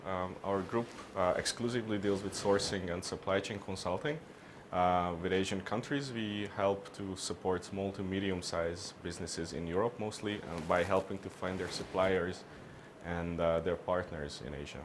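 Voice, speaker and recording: Low-voiced man, halting delivery. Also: fairly even intonation, background noise. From Hungary. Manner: measured, halting, restarts phrases often. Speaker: interviewee, business consultant. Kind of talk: on-camera business interview.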